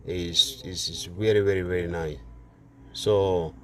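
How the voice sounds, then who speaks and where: A man's voice: deep voice, fairly even intonation, unhurried, fairly bright tone. A man chatting casually through a hands-on car tutorial, informal and repeating phrases for emphasis, speaking inside a car. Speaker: car DIY video host.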